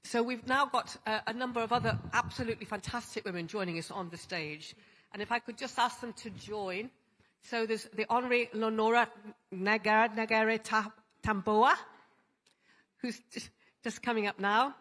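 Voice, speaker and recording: A woman's voice: a little high, a bit bright. Chatty woman moderator in a reverberant hall. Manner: chatty, halting, many restarts. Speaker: panel moderator. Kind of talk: conference moderation. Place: large conference hall.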